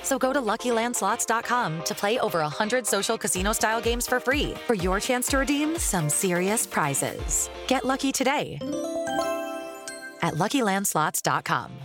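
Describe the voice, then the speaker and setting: A woman's voice: mid-range voice, animated, moderate pace. A woman reading a scripted radio-style commercial in an upbeat, salesy way, with music behind her. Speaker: ad announcer.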